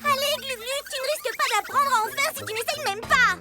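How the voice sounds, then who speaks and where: A woman's voice: extremely high pitch, fast talker, over loud music. A woman voicing a brave young girl owl hero in a cartoon dub, eager and encouraging. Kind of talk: cartoon dubbing.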